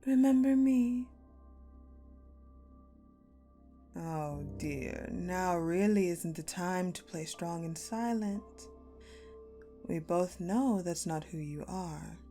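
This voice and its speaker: A sadistic ice-queen general played by a woman in a dark audio roleplay, with music playing clearly behind her. Her voice sits a little low and moves very slowly, savoring each haughty taunt. She is imperious and deliberate, often sinking into a breathy murmur. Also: animated. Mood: cold, toying menace. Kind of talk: dramatic audio roleplay acting.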